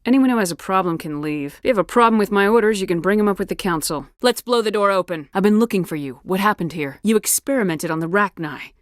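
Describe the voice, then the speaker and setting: An actress playing a tough, no-nonsense space marine commander in a video game, speaking in a firm, clipped, authoritative way. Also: female voice, mid-range voice, animated, fast talker, hardly any pauses, no echo, clean studio sound, natural voice. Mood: commanding, forceful. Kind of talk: scripted video game dialogue acting.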